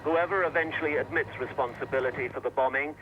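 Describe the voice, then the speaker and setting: A man's voice: medium pitch, fast talker. A man narrating a scripted television news report as a correspondent. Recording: dull, noisy 1980s news track.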